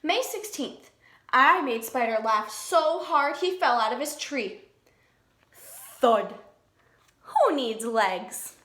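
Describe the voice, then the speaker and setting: A woman's voice: high voice, animated, pushed and bright, exaggerated voice. A woman voicing a young boy worm reading out his diary in a children's picture-book read-aloud. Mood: matter-of-fact, playful. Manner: slow, simple, storybook delivery. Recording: echoey room.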